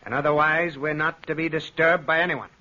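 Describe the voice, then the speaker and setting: An actor playing a cold, condescending husband in an old-time radio horror play, suave, formal and mockingly tender. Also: male voice, on the high side, steady tone, fairly quick, sharp tone. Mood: coldly commanding. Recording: muffled, hissy vintage radio recording.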